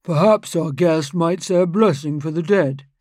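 A woman voicing a scheming middle-aged male lawyer with a smooth, insinuating manner. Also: low-pitched, moderate pace, dark tone, no room sound, studio quality.

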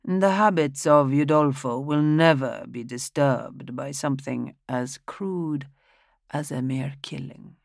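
Lady playing a creepy calm old family head, slow and low, dry sound. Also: gravelly, no echo, exaggerated voice. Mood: cold. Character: aloof elderly male head of the family.

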